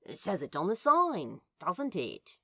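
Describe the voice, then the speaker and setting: Audio story casting: laconic, nonchalant cool guy played by a woman, slow drawling delivery. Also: fairly low pitch, wildly swooping pitch, fairly bright tone, full character voice. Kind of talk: character acting for narrated audio fiction.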